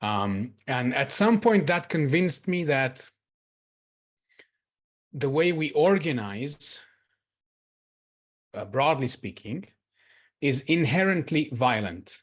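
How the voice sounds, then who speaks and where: A man's voice: mid-range voice, big pitch swings, slow pace, pauses often. A man from Israel speaking as a participant in an online group discussion, earnest and reflective, growing emphatic on his key points, over a video call. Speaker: workshop participant. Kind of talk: personal sharing in a group discussion.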